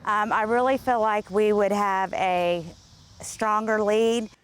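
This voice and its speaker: A middle-aged woman speaking haltingly and personally in a news interview. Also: mid-range voice, steady tone, a little hiss or hum.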